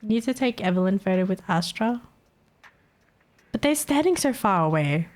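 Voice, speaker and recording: A woman's voice: medium pitch, lively intonation, normal speed. A young woman with a Filipino accent chatting casually on a live stream, teasing her chat and laughing often. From Philippines.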